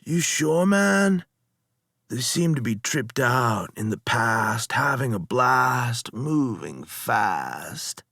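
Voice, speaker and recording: A man's voice: medium pitch, big pitch swings, slow pace, vocal fry, pushed and bright, no echo, studio quality, full character voice. A man voicing a blissed-out, dopey stoner in a story, with slurred, slangy, spaced-out drawling. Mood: spaced-out friendly. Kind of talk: acted storytelling.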